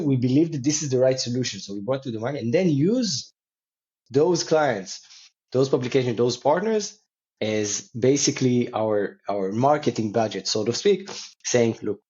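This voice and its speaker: A man's voice: mid-range voice, lively intonation, normal speed, dark tone. A man speaking as a company founder being interviewed, answering conversationally with non-native English phrasing. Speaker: company co-founder and CEO, podcast guest. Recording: slightly dull, band-limited audio.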